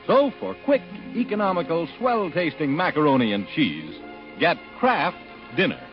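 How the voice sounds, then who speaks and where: Lively American radio ad man, muffled. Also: on the high side, moderate pace. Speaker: commercial announcer. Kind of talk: 1940s radio ad read. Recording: dull old radio recording, faint music.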